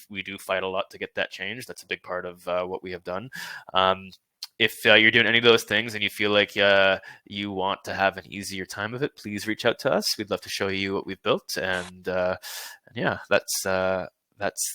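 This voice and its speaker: A man's voice: low voice, fairly even intonation, moderate pace, sharp tone, clear, resonant tone, dry recording. A man hosting a podcast interview whose first language is not English, talking earnestly with many fillers and frequent laughs. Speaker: podcast host. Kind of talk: casual interview chat.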